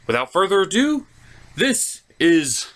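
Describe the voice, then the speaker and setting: A man's voice: high-pitched, big pitch swings, slow pace, fairly bright tone. A man hosting a comedic cartoon review, narrating from a script in a theatrical, playful way. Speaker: host and reviewer.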